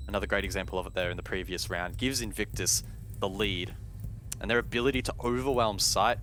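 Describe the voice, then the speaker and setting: Deeper-voiced guy doing analysis on an esports broadcast, bit of game noise behind. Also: a little fast, a bit bright. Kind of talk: live esports analysis commentary.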